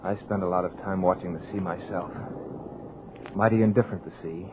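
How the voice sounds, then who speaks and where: An actor playing a scriptwriter who muses aloud with folksy, wry asides, in 1940s radio drama style. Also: male voice, sits low, fairly even intonation, a little fast, a little mellow, a little hiss or hum, close to an everyday voice, low-quality recording. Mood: uneasy. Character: wisecracking young male scriptwriter, nervous underneath.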